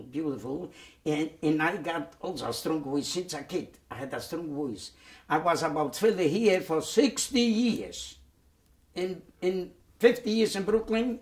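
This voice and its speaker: A man, giving oral history testimony in a halting way, with many repeated words and restarts. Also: fairly high pitch. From Poland. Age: 90s. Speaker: Holocaust survivor giving testimony. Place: dark studio set, indoors.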